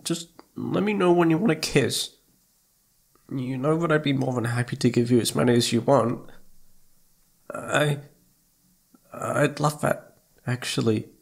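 A man's voice: mid-range voice, measured pace, gravelly, pauses often. A man playing a needy, playful young boyfriend in intimate ASMR roleplay, pouty and sweet, stammering when flustered. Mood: needy, teasing.

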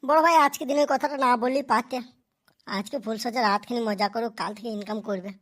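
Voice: medium pitch, quick pace, a bit bright, lightly acted. A performer voicing a cheeky, meddling, foul-mouthed wedding companion in a cartoon, thin-voiced. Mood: coaxing. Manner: meddling, teasing, crude. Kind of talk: amateur comedy cartoon voice acting.

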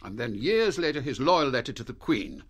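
An actor playing an authoritative, gruff British spy chief in a radio drama, clipped and commanding. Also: male voice, medium pitch, sing-song, normal speed. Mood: confiding.

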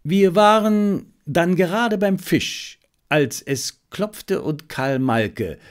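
A male narrator reads a detective story aloud. He takes his time, speaking in a measured, storytelling way with a lively, varied pitch. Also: smooth. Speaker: audiobook narrator.

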